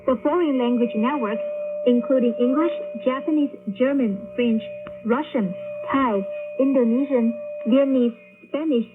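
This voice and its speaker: A woman co-hosting a radio program, giving information in a measured, formal announcing style. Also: sits high. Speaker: radio host. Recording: tinny shortwave radio broadcast, music behind.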